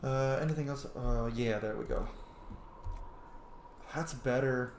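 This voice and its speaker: A man's voice: medium pitch, measured pace. A man giving casual commentary as he plays a game, muttering to himself in short fragments. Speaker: gamer narrating a roleplay playthrough. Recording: echoey room, faint background noise.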